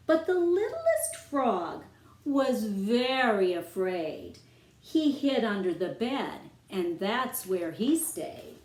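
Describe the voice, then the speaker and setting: A woman reading a rhyming children's story aloud as its author, with expressive, dramatic phrasing aimed at young listeners. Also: medium pitch. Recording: roomy, echoing room sound.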